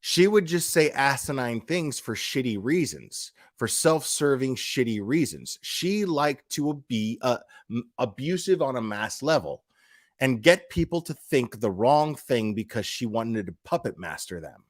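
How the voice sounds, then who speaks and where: A man with an average-pitched voice delivers an unscripted, opinionated rant. He is blunt and swears casually, restating phrases to drive them in. The sound is dry, with little room on it. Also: expressive, measured pace, no echo. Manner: emphatic, repeats phrases for stress. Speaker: livestream commentator.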